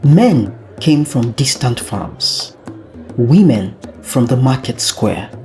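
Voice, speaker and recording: Very slow, dramatic folktale storyteller. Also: medium pitch, darkish tone. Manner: deliberate, with frequent dramatic pauses. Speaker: storyteller, narrator. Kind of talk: dramatic scripted folktale narration.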